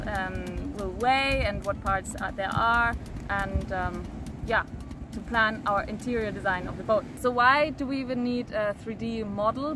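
A young woman explaining a boat project to camera outdoors on a beach, earnest and hesitant with many ums. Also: mid-range voice. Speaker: project team member presenting.

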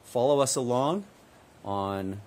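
A man in his fifties giving a casual walkthrough of a construction site to a handheld camera, relaxed and upbeat with frequent filler words. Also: mid-range voice, takes it slowly. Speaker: builder giving a site tour. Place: outdoor construction site, then inside a framed house. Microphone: phone or camera held at arm's length.

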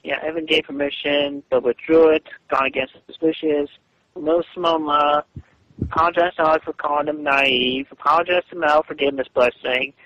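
A man in a halting phone conversation, speaking in a stilted, deliberate way and repeating himself, over a muffled line. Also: fairly high pitch, steady tone. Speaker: person on a phone call.

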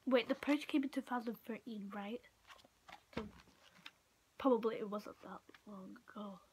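A teenage girl from Portugal vlogging casually to camera in a room at home, talking in a halting way full of "like". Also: fairly high pitch, darkish tone, a little hiss or hum, poor audio. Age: teenager, about 15. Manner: halting, frequent "like" and trailing off. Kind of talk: casual chatty show-and-tell to camera. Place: small room at home, indoors. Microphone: built-in device mic at arm's length or a bit further.

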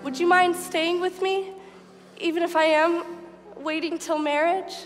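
Woman playing gushy confident teen witch, high voice, stagey comedy delivery, echoey hall w music. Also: steady tone, a little slow. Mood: coy, frank. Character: self-assured, gushing teenage witch schoolgirl. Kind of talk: comic musical stage reading. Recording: reverberant theatre hall, music behind.

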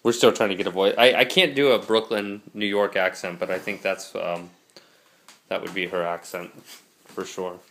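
A man's voice: deep voice, fairly even intonation, normal speed, a bit bright, slight background noise. A young man filming a casual vlog with a handheld camera, coaching a frisbee throw and chatting to his dogs. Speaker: dog trainer vlogger. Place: gym, park and home, handheld camera. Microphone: handheld camera mic.

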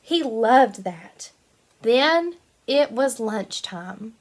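A woman in her twenties, a kindergarten teacher reading a picture book aloud to young children in an animated, sing-song way. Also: fairly high pitch, very slow. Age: 20s.

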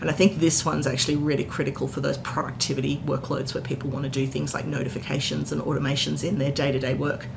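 A woman's voice: little pitch movement, fast talker, hardly any pauses. A woman in her forties giving an enthusiastic, informal explainer recap to camera as a tech presenter, indoors. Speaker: tech content creator, presenter. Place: indoor hotel-style room. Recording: clear background noise with low rumble.

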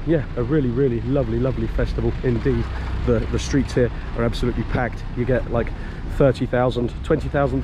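A man talks his way around outdoors, rambling and chatty, stopping to restart his sentences and chuckling softly. His voice sits at a middle pitch. Steady outdoor noise runs behind him. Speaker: vlogger showing a property for sale. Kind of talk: casual walk-and-talk tour. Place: outdoors, walking a farm and village streets.

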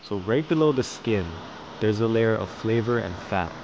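A man narrating a fish-cutting and plating tutorial as host, explaining each step calmly. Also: fairly low pitch, normal speed, highs cut off, background noise. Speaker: fishing and cooking channel host. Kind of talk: calm instructional voice-over.

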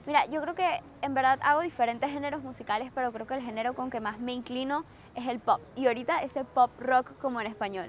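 Young woman, Venezuelan Spanish accent, high voice, bubbly, Spanglish, outdoors on a boat, faint background noise. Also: quick pace, hardly any pauses. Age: young adult. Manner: bubbly, mixes English into Spanish. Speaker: singer-songwriter guest. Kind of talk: casual interview chat.

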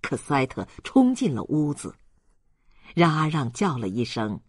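Expressive male audiobook narrator. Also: on the high side, a little slow, husky, dry recording, clean studio sound. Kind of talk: dramatic scripted novel narration.

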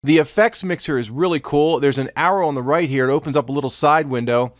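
A man in his fifties giving an informal, instructional software tutorial at a close microphone in a small room. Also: a little high, fast talker, dry recording. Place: small home studio room, indoors.

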